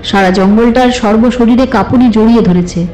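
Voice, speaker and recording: High-voiced woman narrating suspense fiction. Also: normal speed. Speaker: narrator of an audio story. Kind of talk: dramatic scripted literary narration in suspense story. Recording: slightly roomy, with music behind. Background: soft background music.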